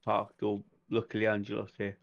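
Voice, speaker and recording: A man with a fairly low, gravelly voice takes part in a tabletop roleplay game. He talks in character, politely and at a measured, conversational level. The sound is close and dry. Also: monotone, dry recording, dull audio.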